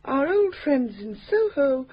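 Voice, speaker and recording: An actress playing a brisk, sardonic woman who runs a charity alliance in a radio drama. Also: sits high, very animated, muffled recording. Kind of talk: 1970s-80s radio drama acting.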